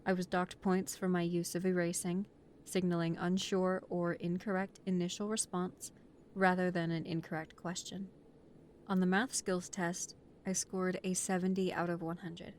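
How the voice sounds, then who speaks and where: A woman's voice: lowish voice, little pitch movement, normal speed, darkish tone, slight background noise, natural voice. A woman playing a nervous, deferential mafia recruit who speaks politely and formally, with hesitation, in an audio roleplay. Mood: dutiful, careful.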